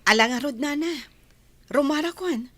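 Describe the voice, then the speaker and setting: An actress playing a brisk young lady of the house, a doctor, in a radio drama, breezy and familiar. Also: sits high, a bit bright, no room sound. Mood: brisk, friendly.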